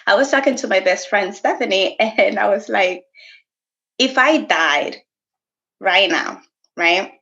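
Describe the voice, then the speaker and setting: Animated woman chatting, slightly muffled. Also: mid-range voice. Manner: animated, laughs often, code-switches briefly. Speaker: podcast co-host. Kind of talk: casual conversation.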